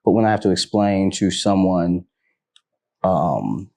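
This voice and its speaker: A man from Detroit speaks on a panel in a measured, thoughtful way. His voice is low and warm, with a mellow tone. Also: expressive, gravelly. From Detroit, Michigan. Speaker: panel guest. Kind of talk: casual discussion.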